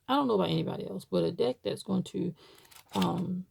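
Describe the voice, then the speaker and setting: A woman's voice: on the low side, brisk, vocal fry, a little mellow, a little hiss or hum. A woman from Baltimore chatting casually to camera, rambling with many fillers as she shows items. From Baltimore, USA. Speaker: tarot deck reviewer.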